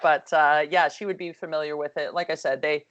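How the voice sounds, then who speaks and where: Calm low-voiced woman coach. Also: brisk, muffled recording. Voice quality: calm, soothing voice. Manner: chatty, lots of fillers and restarts. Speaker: hockey coach. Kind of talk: casual video call discussion.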